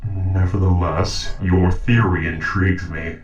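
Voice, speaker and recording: A man playing a calm, logical sentient robot in an audio roleplay, speaking in formal, measured, precise sentences. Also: sits low, echoey room, distant mic, lo-fi sound. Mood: calm, reassuring. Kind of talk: dramatic audio roleplay acting.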